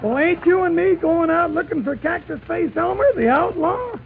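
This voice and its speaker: Bashful rustic sheriff, extremely high croaky voice, lively drawl, 1940s radio comedy, tinny recording. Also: male voice, moderate pace, a little hiss or hum, exaggerated voice. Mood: eager. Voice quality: creaky, gravelly, breaking. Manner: folksy drawl. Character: eager, childlike, bashful cowboy sheriff.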